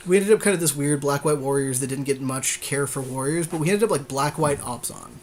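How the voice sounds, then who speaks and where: Quick-talking man in casual podcast chat. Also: mid-range voice. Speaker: podcast co-host and card game player.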